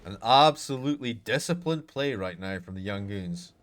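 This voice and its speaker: Excitable Scottish esports caster. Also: male voice, lowish voice, normal speed. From Scotland. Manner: excitable, rising loud during fights, chatty between. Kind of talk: solo live game commentary with chat asides.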